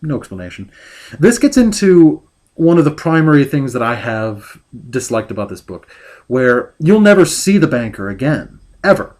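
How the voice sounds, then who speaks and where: A man's voice: medium pitch, sing-song, darkish tone. Exasperated man ranting through a review. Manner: halting, full of restarts. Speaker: book reviewer. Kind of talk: critical book review rant.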